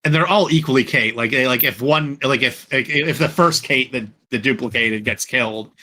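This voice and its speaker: Bright-voiced man chatting casually. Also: medium pitch, moderate pace. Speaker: podcast co-host. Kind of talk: casual chat.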